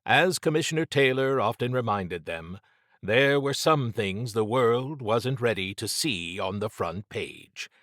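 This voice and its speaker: A man's voice: medium pitch, lively intonation, a little slow, fairly bright tone, no echo, studio quality. A man narrating scripted fiction as a dramatic audiobook reader.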